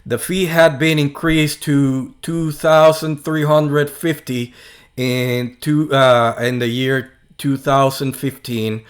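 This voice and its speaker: Slow, halting Puerto Rican man. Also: mid-range voice, little pitch movement. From Puerto Rico. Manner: slow and halting, many fillers and restarts, sarcastic asides. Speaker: video host. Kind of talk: casual monologue to camera.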